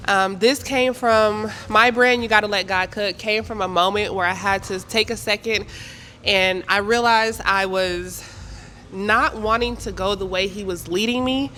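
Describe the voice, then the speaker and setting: A woman's voice: medium pitch, steady tone, measured pace, pushed and bright, slight background noise. A woman faith coach, telling her story in a playful, sassy way. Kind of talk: panel talk.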